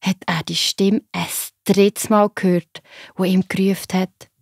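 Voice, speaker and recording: A woman with a Swiss accent narrating a story for children in a simple, expressive way. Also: medium pitch, unhurried, creaky, slightly rough, no room sound, clean studio sound.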